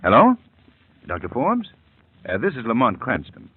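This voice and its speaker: An actor playing a suave male gentleman hero who speaks with urgent authority, in 1940s radio drama style, on a tinny old broadcast. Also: mid-range voice, huge pitch swings, moderate pace, breathy edge, no room sound, heavily acted.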